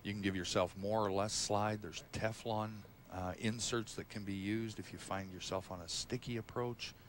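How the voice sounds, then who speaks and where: A man's voice: lowish voice, steady tone, normal speed. A man giving explanatory, technical colour commentary during a live bowling match. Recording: live crowd noise behind. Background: audience and hall noise.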